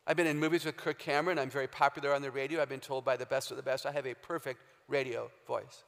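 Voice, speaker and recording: A woman with a very low, deep voice giving an emphatic, humorous faith talk as a guest speaker, quizzing a live audience in a large hall. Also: steady tone, quick pace, gravelly. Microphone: handheld or stage microphone. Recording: long hall reverb, live stage talk.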